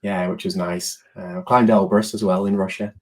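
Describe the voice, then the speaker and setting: A man hosting a podcast interview, upbeat and quick with short affirmations. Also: sits low, flat delivery, darkish tone. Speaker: podcast host.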